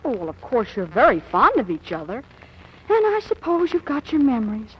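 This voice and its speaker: Gushy, melodramatic girl of fifteen, high-pitched, old muffled radio sound. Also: huge pitch swings, brisk. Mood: earnest. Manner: gushing, breathy hesitations and exclamations. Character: lovestruck, melodramatic fifteen-year-old girl, aspiring writer. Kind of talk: 1940s radio acting. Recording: muffled, noisy vintage radio recording.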